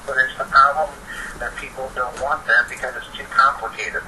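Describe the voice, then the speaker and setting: A man's voice: medium pitch, fairly even intonation, normal speed, sharp tone. A young man chatting casually and explaining his opinions eagerly. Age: teens or young adult. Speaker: participant in a phone chat. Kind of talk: casual chat. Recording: noisy, distant phone-call recording.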